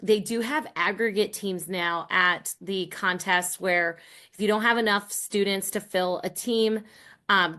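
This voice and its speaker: Middle-aged American woman explaining, mid-pitched. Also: fairly bright tone. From Rhode Island and Massachusetts, United States. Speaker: guest, college professor and team coach. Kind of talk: conversational explanation.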